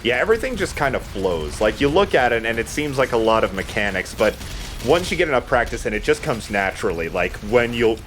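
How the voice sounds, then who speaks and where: A man's voice: medium pitch, sing-song, brisk, fairly bright tone, a little hiss or hum. A man giving casual, chatty, self-deprecating commentary while he plays a video game as host. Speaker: let's play host playing the game.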